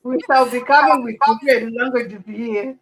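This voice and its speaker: A woman from Zambia, a guest on a live-stream chat, talking in a lively, greeting way. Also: a little high, moderate pace, a crowd in the background. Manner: lively, greeting, mixes in Nyanja.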